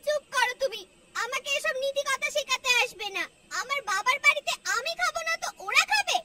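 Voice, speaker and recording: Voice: very high-pitched, animated, fairly quick, far from the mic, crowd noise. A performer voicing a greedy, stubborn married woman in a Bengali family cartoon, whiny and bossy in manner. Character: greedy, stubborn married woman visiting her parents' home.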